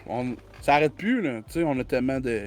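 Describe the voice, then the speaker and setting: A man's voice: medium pitch, lively intonation, fairly quick, a little hiss or hum. A man with a Quebec French accent, a podcast guest chatting casually and rambling through many restarts. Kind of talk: casual conversational chat.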